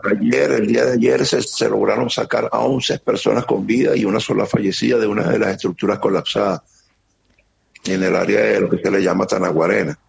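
A middle-aged man with a Venezuelan accent giving an earnest, halting account as an interviewee, often repeating words. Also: fairly low pitch, steady tone, creaky. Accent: Venezuelan Spanish. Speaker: interviewee, security operator. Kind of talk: emotional testimony on a remote interview. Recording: muffled lo-fi line with faint noise.

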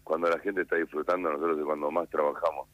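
Argentine male chef, 50s, low voice, relaxed explainer on a radio call. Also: dry recording, lo-fi sound. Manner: calm, unhurried, explanatory. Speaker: chef guest. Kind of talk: radio interview by phone.

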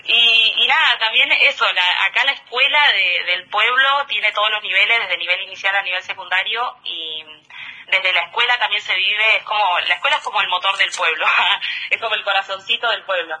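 Argentine woman in her 30s, super bright voice, laughs a lot, easygoing radio guest. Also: medium pitch, fairly quick, rough recording. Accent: Argentine Spanish. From Argentine Patagonia. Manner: warm, laughing, loose and hesitant talk. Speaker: teacher and tourism guest. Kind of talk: casual radio interview.

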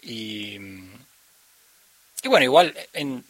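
A young man with an Argentine Spanish accent, a musician chatting thoughtfully in an interview with many pauses and restarts. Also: mid-range voice, expressive, fairly bright tone, dry recording, low-quality recording. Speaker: musician guest. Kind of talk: casual interview conversation.

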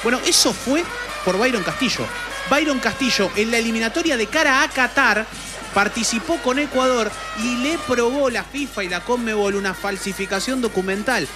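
A man giving measured, analytical football commentary on the radio. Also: extremely high pitch, music in the background.

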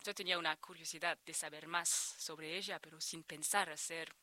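A woman's voice: sits low, fairly even intonation, normal speed, sharp tone. A woman in her thirties from France, speaking Spanish with a French accent as an interview guest, hesitant with frequent restarts. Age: 30s. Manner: hesitant, many restarts and repeated words. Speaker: interviewed researcher. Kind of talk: conversational interview.

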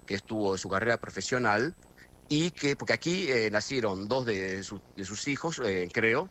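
A man's voice: fairly low pitch, lively intonation, normal speed, a bit bright. A middle-aged Argentine man from near Buenos Aires, a guest correspondent on a radio phone interview, talkative and full of hesitations and restarts. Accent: Argentine, Buenos Aires area.

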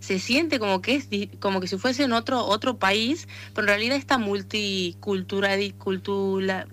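Bright-voiced northern Argentine woman athlete. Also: medium pitch, normal speed. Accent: northern Argentine, Tucumán. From Tucumán, northern Argentina. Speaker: interviewed athlete, arm wrestler and association president. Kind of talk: conversational radio interview answers.

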